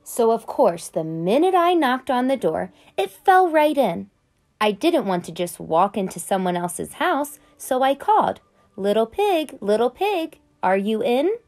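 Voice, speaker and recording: A woman voicing a sly, smooth-talking male wolf who tells his side of the story in a chatty, conspiratorial way, read aloud from a children's picture book. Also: a little high, sing-song, unhurried, a little mellow.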